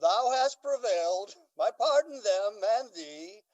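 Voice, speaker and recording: A noble Duke from a Shakespearean radio play, played by a man, with a notably high voice for a male. He declaims slowly and with stately dignity, a magnanimous ruler. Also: lively intonation, a little mellow. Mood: gracious. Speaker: amateur stage actor. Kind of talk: Shakespearean radio drama acting.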